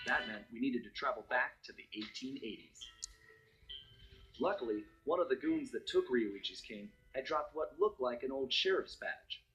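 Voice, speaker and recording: Casting: suave raccoon master thief, game cutscene narrator, wry and dramatic, animated mid-range delivery over music. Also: male voice, medium pitch, lo-fi sound. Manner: wry, smooth storyteller with dramatic phrasing. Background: background music.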